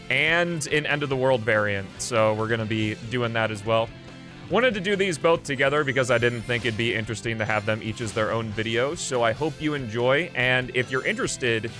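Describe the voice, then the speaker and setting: A man streams a video game and talks through it casually. His voice sits at a middle pitch, and he rambles in an offhand way, thinking aloud. Faint game music plays behind him. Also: pushed and bright. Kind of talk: casual live gameplay commentary.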